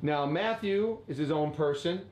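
A man's voice: fairly high pitch, unhurried, a little mellow, a little hiss or hum. A man leading a casual lesson, asking prompting questions and tagging lines with "right?". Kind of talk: casual instructive talk. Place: basement room. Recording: echoey basement room, faint ambience.

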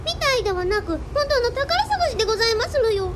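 A precocious little girl for radio drama, extremely high-pitched and quick. She speaks in quaint, overly formal phrases, with clear noise in the background. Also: big pitch swings. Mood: confident. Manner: exaggeratedly polite, archaic formal speech.

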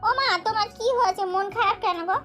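A squeaky cartoon girl, a caring and obedient big sister. The voice is extremely high, piercingly bright and childlike. Music plays under it. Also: fast. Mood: concerned. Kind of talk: amateur cartoon dubbing.

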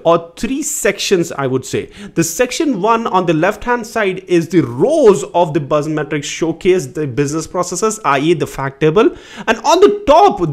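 A man in his thirties hosting his own channel, explaining data concepts to camera in an eager, emphatic way from a small home studio. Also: sits high. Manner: eager, emphatic, lively delivery with hand gestures. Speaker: data educator and channel host. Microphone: studio mic on boom beside him.

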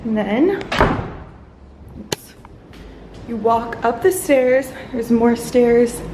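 A woman's voice: fairly high pitch, very slow, noisy background. A young woman giving an enthusiastic, chatty tour of a holiday villa, filming herself on a phone held at arm's length and filling her talk with "like.". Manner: enthusiastic, chatty, frequent "like" fillers. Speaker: travel vlogger. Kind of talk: casual house tour vlog. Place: holiday villa, indoors and on open terraces.

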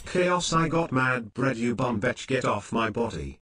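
A man's voice: mid-range voice, expressive, a little slow, over music. A synthetic male text-to-speech voice reading viewer messages aloud on a livestream. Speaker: text-to-speech donation reader.

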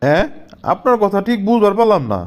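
A man's voice: sits high, animated, fast talker, clear, resonant tone. A man voicing an exasperated, bossy job interviewer in a comedy cartoon, with a Bangladeshi accent and colloquial speech. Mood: bewildered. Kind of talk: comic cartoon dialogue.

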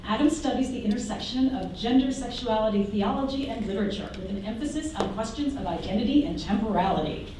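A woman moderator introduces the next speaker with a light, joking touch. Her tone is soft and mellow. She is heard from across an echoey classroom. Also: on the high side, fast, recorded from a distance, slight background noise. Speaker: panel moderator. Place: university classroom.

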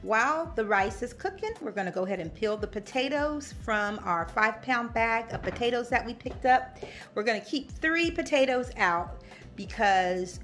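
A woman's voice: mid-range voice, a bit bright. A woman in her thirties hosting a casual home cooking video, chatty and upbeat, talking straight to viewers in her kitchen. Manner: upbeat, chatty, talks to viewers as "you guys". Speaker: cooking vlogger, host. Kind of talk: casual cooking demo chat.

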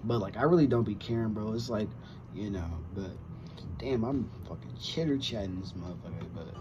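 A man's voice: fairly low pitch, a little fast, background noise. Slangy young man, muffled audio. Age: 20s. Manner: slangy, rambling, frequent profanity. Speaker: reaction video host. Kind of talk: casual chat to camera. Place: small room with wooden cabinets indoors. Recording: dull lo-fi sound, music behind.

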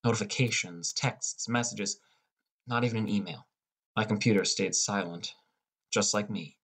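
A young man reading a scripted first-person audiobook as a depressed high school boy. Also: steady tone, moderate pace, a bit bright, breathy edge. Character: depressed, irritable high school boy telling his story. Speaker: audiobook narrator.

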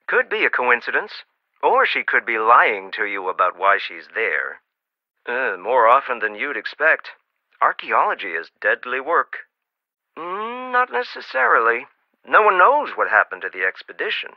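An actor playing a dry-witted archaeologist in a video game, talking in a wry, encouraging way. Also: male voice, medium pitch, sing-song, normal speed, dry recording. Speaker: archaeologist on the phone to the player. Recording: dull lo-fi game audio, dry.

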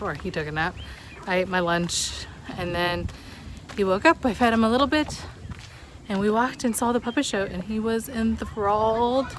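A woman's voice: mid-range voice, a little hiss or hum. A woman in her thirties chatting casually to a phone held at arm's length while walking outside, in a rambling, hesitant, conversational way. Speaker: vlogger, mother. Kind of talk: casual outdoor vlog chat. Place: outdoors on breezy walkways and roadsides.

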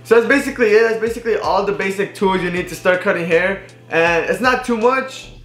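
A man's voice: high-pitched, fairly quick, roomy sound, soft background music. A young man in his twenties, a barber, casually showing his tools in a living room to a fixed camera, with many fillers and restarts. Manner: relaxed, filler-heavy, restarts often. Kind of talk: casual tutorial. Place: indoors, living room with TV and couch.

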